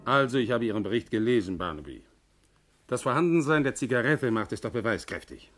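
An actor playing an insistent, self-justifying company boss in a 1960s German radio crime drama, formal and argumentative. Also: male voice, medium pitch, expressive, fairly quick, loud music behind the voice, close to an everyday voice.

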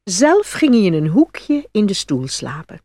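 A woman reading scripted fairy-tale narration as an expressive storyteller. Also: mid-range voice, measured pace, no echo.

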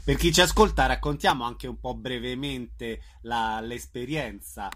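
A man's voice: mid-range voice, measured pace, fairly bright tone, a little hiss or hum. A man hosting a radio interview, putting questions to his guest in a conversational way.

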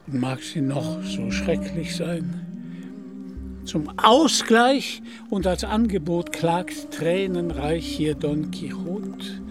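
An actor playing a mournful, lovesick mad knight who declaims verse pompously in a radio play. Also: male voice, a little high. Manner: pompous, declamatory, drawn-out delivery. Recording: music behind the voice.

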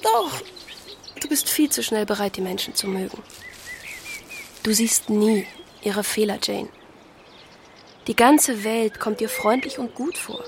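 A woman's voice: medium pitch, lively intonation, a little slow, long pauses. A woman playing a frank, witty young sister in a German radio drama, playful and candid. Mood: teasing, affectionate. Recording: clear outdoor noise with birdsong.

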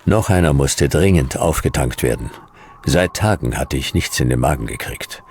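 A man's voice: rumbling low, lively intonation, moderate pace, a little mellow, a little hiss or hum. A man playing a hard-boiled, world-weary detective in a radio play, speaking in terse, clipped sentences. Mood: grim, weary. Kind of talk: radio play narration, noir.

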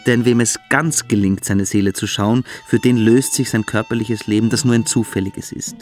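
A man acting in a German radio play as a cruel, mystical teenage boarding-school boy, lecturing and brooding, sometimes hushed. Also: on the low side, fairly even intonation, normal speed, faint music behind, natural voice. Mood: fanatical, intense.

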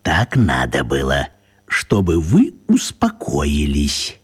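An actor playing a shifty, puny old wizard in a radio play, with a husky voice and fussy, old-fashioned politeness. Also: male voice, very deep voice, wildly swooping pitch, takes it slowly, heavily acted. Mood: conspiratorial. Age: older.

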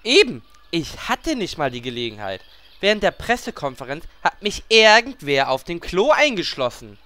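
A man's voice: a little high, very animated, moderate pace, pushed and bright. A man playing a cheeky, crude guild adventurer and shameless flirt in a parody radio play. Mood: brash, flirty.

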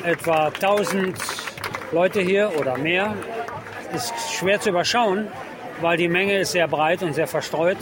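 A man giving a live radio report outdoors in a halting, descriptive way as he observes the scene. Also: sits high. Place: outdoors at a busy city harbour. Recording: loud crowd and outdoor urban harbour noise. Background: large crowd chanting and applauding.

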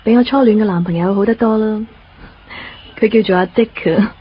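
A woman's voice: mid-range voice, fairly even intonation, brisk, a little hiss or hum, lightly acted. A woman acting a composed, understanding young girlfriend in a radio drama, with a Hong Kong Cantonese accent. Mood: calm, confiding. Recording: muffled radio drama recording with music.

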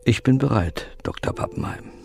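Creaky, meek middle-aged baker. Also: male voice, medium pitch, expressive, moderate pace, faint music behind. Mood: meek, anxious. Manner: meek, polite. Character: humble, deferential pastry baker of thirty years' service. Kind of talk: German radio play acting.

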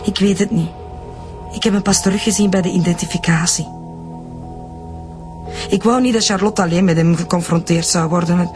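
An actress with a Flemish accent playing an earnest, frightened daughter explaining herself in a radio drama. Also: female voice, fairly low pitch, fairly even intonation, moderate pace, long pauses, over music, natural voice. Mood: earnest, sorrowful. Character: frightened, earnest secret daughter confessing family history.

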